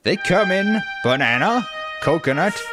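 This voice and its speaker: Chirpy food-cart huckster played by a man, upbeat patter, raised swooping pitch, music behind. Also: expressive, a little slow. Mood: chirpy, selling. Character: chirpy huckster shaved ice salesman at the Olympics. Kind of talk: radio comedy sketch acting, mock advert.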